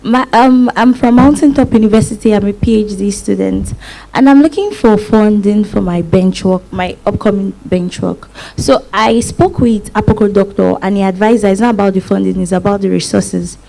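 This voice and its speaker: Creaky, mellow-voiced Nigerian woman. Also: medium pitch, moderate pace, poor audio. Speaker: audience questioner, PhD student. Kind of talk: polite question from the floor.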